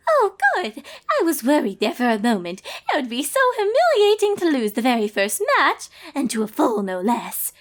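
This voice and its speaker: A woman's voice: high voice, very animated, normal speed, hardly any pauses, voice pushed up. A woman voicing a nervous, refined young female pony who chatters and stammers fretfully in a storybook reading. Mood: relieved worry.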